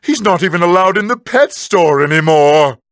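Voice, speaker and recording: Theatrical high-pitched evil mad scientist. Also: male voice, expressive, no echo, clean studio sound. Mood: mocking. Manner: pompous, mocking, drawn-out theatrical delivery. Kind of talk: scripted comedic in-character monologue.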